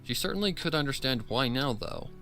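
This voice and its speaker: A man reads scripted fiction as a narrator. His voice is bright and crisp-edged, sitting at a middling pitch, with faint music underneath. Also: animated, fairly quick.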